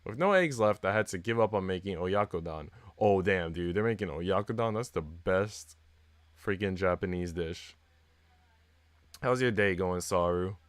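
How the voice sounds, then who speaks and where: A man's voice: low voice, lively intonation, moderate pace, no echo. A man live streaming, switching between reading a visual novel aloud and slangy, joking chat with casual swearing. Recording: music playing behind the voice. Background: plucked guitar music.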